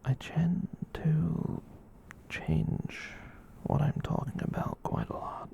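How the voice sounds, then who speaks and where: A performer voicing a gentle, kind giant catboy in a soft breathy whisper, rambling reassuringly in an ASMR roleplay. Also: mid-range voice, very animated, lo-fi sound, heavily acted. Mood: soothing, confiding. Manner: rambling, reassuring, slow with long pauses.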